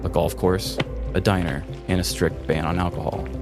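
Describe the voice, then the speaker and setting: A man with a low voice and an American accent speaks as a podcast host. His delivery is mock-grandiose and sarcastic, in a comedic style. Music plays clearly behind him. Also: little pitch movement, moderate pace. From American Midwest. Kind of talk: scripted comedic monologue and banter.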